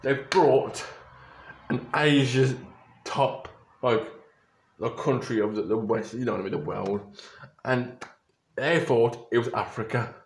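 A man's voice: mid-range voice, unhurried, pauses often, slight background noise. Rambling young man in an echoey bedroom. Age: young adult. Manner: rambling, restarts and self-corrections. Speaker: YouTuber reacting to photos. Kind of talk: rambling casual vlog commentary. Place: small bedroom indoors. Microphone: webcam or phone camera at close range.

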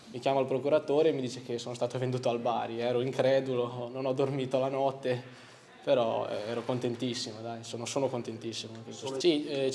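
Young male footballer, even monotone delivery, hesitant, handheld mic post-match, faint ambience. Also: medium pitch, slight background noise. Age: young adult. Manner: soft-spoken, hesitant with fillers. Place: outdoor sponsor backdrop by a stadium. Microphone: handheld interview mic held close.

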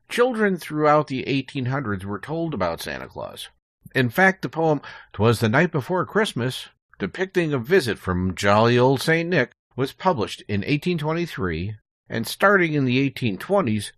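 American male guest, informative storyteller, animated intonation, dry studio-like sound. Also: mid-range voice, normal speed, dry recording. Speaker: podcast guest. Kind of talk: prepared informative storytelling, conversational.

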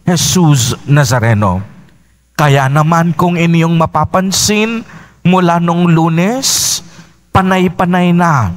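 Slow, lilting priest preaching at Mass. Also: male voice, a little high. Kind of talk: homily at Mass. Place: church sanctuary, indoors. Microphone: mic on a stand at the pulpit.

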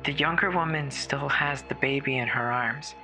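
A performer voicing a terrified woman caller alone in a snowbound house, halting and stammering with fear in a horror audio drama. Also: medium pitch, bright, forceful tone, soft background music. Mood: frightened. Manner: halting, fearful, breathy stammering. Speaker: emergency caller.

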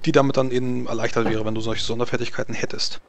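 Guy running a tabletop rpg, narrating off the cuff, halting, average pitch voice. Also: level tone, creaky. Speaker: game master. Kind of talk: improvised tabletop game narration.

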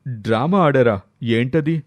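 A curious, easily astonished older pal, played by a man in an audio moral story. He banters and exclaims in a medium-pitched voice with a dry, close sound. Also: slow, dry recording, natural voice. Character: curious, surprised older male friend of the grandfather.

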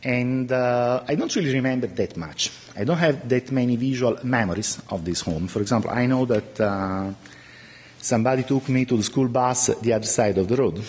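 A middle-aged man whose first language is Italian gives a conference talk from behind a podium, his delivery halting and filled with pauses. His voice is slightly husky and sits at an ordinary pitch for a man. The sound carries the long reverb of a large lecture hall. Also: darkish tone. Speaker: conference speaker.

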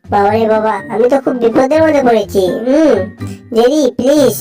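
Panicky, bossy cartoon animal played by a woman, very high voice, Bangladeshi accent, exclamatory and repetitive, music underneath. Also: moderate pace, warm tone, close to an everyday voice. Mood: anxious pleading. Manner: exclamatory, repetitive wailing and pleading. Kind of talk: amateur cartoon dub.

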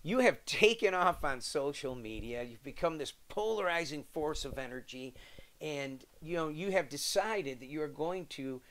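A middle-aged American man with a slightly high voice hosts an interview show. He presents questions and introductions in an emphatic, showman-like way. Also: expressive, normal speed, a bit bright. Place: wooden sunroom indoors.

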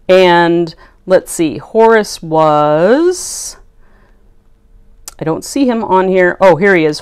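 A woman's voice: fairly low pitch, a little mellow. A middle-aged woman chatting casually to camera from her craft room at home, thinking aloud with fillers as she shows items. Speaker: vlogger showing a haul.

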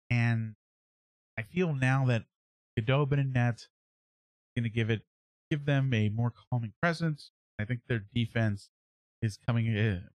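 A man in his thirties hosting a sports podcast, giving casual analysis in a halting way as he thinks aloud. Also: mid-range voice, dry recording. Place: small indoor room at home, on a livestream webcam.

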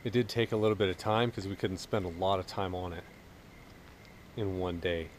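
A man in his thirties giving a relaxed, casual walkthrough of equipment outdoors in a wooded yard, filming himself. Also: deep voice, moderate pace, a little hiss or hum. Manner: relaxed, explanatory, joking. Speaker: vlogger.

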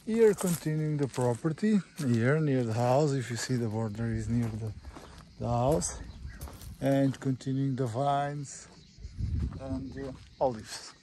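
A man with a Portuguese accent giving an informal property walkthrough as an estate agent, in halting, simple English with short phrases. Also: medium pitch, warm tone, poor audio. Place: outdoors on a rural farm. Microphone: handheld phone or camera held by speaker. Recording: outdoor handheld recording with faint background noise.

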